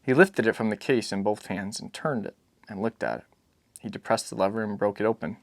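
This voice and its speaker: A man's voice: fairly low pitch, brisk, dry recording. A man narrating a literary novel as a dramatic audiobook reading.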